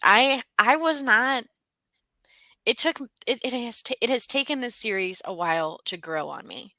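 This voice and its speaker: A woman's voice: fairly high pitch, expressive, normal speed, bright, forceful tone, dry recording. A woman co-hosting a casual book discussion, talking conversationally and thinking aloud as she goes.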